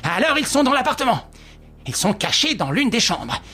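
A stubborn veteran police inspector, as an audiobook narrator puts him on, raising the voice well above the narration and pushing it hard. He stammers and insists, with his words tumbling out in agitated bursts. The tone stays husky throughout. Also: mid-range voice, sing-song, moderate pace, sharp tone, soft background music, pitched above the performer's own voice, exaggerated voice. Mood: triumphant.

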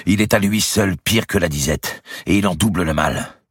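A man's voice: low voice, normal speed, slightly rough, no echo, studio quality, voice pushed up. A man voicing a hungry young nobleman who speaks in an indignant, wry way.

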